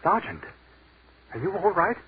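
A dead captain, rapturous. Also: male voice, high voice, sing-song, moderate pace. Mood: exalted. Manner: urgent and stammering, then rapturous. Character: dead army captain, earnest and visionary. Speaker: lead actor. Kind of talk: 1940s radio drama acting. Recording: tinny old radio broadcast with hiss.

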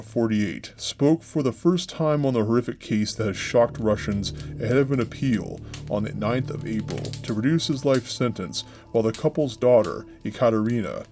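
A man with a low voice reads a true-crime script as a podcast host. His delivery is measured and somber, and he keeps a steady narrating pace. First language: English.

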